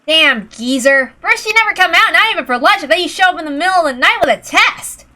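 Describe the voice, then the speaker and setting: A woman voicing an irritable adult man who complains in loud exasperation, in a story reading. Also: very high voice, lively intonation, fast talker, voice pushed up, exaggerated voice. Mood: annoyed.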